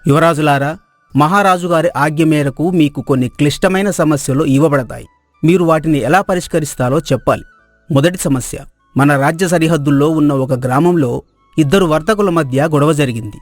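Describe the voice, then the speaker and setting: A man acts a courtly minister in a moral tale, his voice fairly high and recorded dry. He addresses royalty with official formality. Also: a little fast, dry recording, soft background music, close to an everyday voice. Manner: official, orderly.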